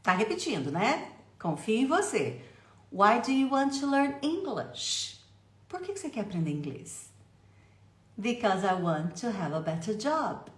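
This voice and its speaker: A Brazilian woman with a Brazilian accent and a medium-pitched voice, running a lively English pronunciation lesson. She slows down to drill short phrases for the listener to repeat. The sound is a bit roomy and echoey. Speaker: English teacher.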